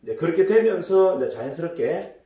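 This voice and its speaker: A middle-aged man with a hoarse, gravelly throat, his tone dark and mellow, tells a business lecture as a loose story. He rambles and restarts often. He is picked up from a distance in a roomy, echoing space. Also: fairly high pitch, very animated, brisk. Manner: rambling, many fillers and restarts. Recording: echoey room, distant mic.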